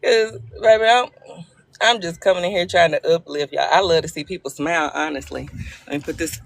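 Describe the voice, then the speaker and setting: Gravelly woman chatting live. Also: fairly low pitch, brisk. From Arkansas, US South. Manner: chatty, playful, laughs often, greets viewers by name. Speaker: livestream host. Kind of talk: casual live-stream chat with viewers. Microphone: phone.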